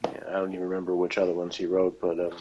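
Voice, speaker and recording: Low-voiced man rambling through a review. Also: completely flat delivery, rapid-fire, mellow, muffled recording. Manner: rambling, loosely phrased. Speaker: review show co-host. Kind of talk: casual opinionated episode review chat.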